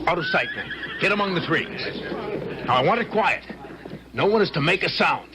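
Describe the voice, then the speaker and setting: An actor playing a commanding, hot-tempered army major in an old-time radio drama, speaking in clipped orders. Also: male voice, high-pitched, animated, moderate pace. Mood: tense, hushed command. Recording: noisy old radio broadcast recording.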